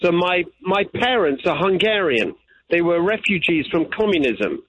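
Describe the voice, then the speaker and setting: A man's voice: high-pitched, very animated, normal speed, slight background noise. A man from England, answering interview questions earnestly and repeating his key points. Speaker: political candidate. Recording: tinny phone line.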